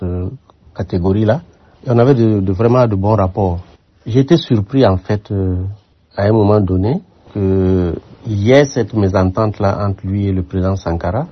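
An older man from Burkina Faso, a former military aide, answering interview questions in a measured, reflective way with frequent hesitations. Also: low voice. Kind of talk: radio interview. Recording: muffled lo-fi sound.

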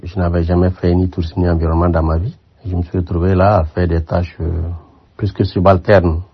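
Older man, from Burkina Faso, interview guest, very deep voice, husky, hesitant and reflective, muffled recording. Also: level tone. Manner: measured, reflective, with frequent hesitations. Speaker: former military aide, interview guest. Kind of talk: radio interview. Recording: muffled lo-fi sound.

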